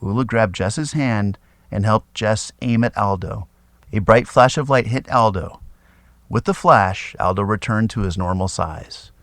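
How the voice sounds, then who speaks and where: A man narrating a scripted children's story in an expressive, dramatic read-aloud style. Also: on the low side, a little slow, dry recording.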